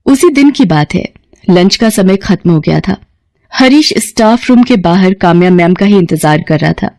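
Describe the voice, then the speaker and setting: A woman's voice: mid-range voice, expressive, moderate pace, a little mellow, no echo, studio quality. A woman narrating a scripted story as a storyteller.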